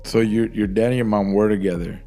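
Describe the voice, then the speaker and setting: A man's voice: fairly low pitch, fairly even intonation, brisk, warm tone, faint music behind. A man hosting a casual podcast interview, asking questions in English with some Spanish words dropped in.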